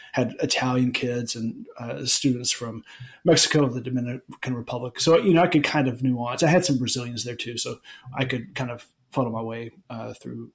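A man in his forties from Alabama, the usual host, answering interview questions in a relaxed, rambling way with many restarts. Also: medium pitch, low-quality recording. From Alabama, United States. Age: 40s. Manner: rambling, many ums and restarts, laughs easily. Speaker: podcast host being interviewed. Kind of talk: casual interview chat.

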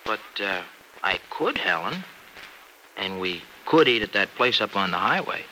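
Forceful, animated American farm man. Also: lowish voice, measured pace, exaggerated voice, poor audio. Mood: uneasy, hesitant. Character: earnest, folksy young farm man, conflicted in love. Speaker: radio drama actor. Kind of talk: classic American radio drama acting. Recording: old radio recording, faint noise.

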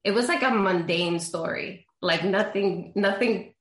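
A woman's voice: medium pitch, a little slow. A young woman from Honduras whose first language is Spanish, a therapist telling her story candidly in a casual interview. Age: young adult. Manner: candid, lively storytelling with frequent fillers. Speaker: therapist guest. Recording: roomy echo.

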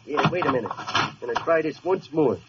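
An actor playing a brash, vain, dim-witted gangster boss in a 1940s radio comedy, with tough slang and mangled grammar. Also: male voice, fairly high pitch, expressive, brisk, natural voice. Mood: gruff, annoyed. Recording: muffled, faintly noisy old radio recording.

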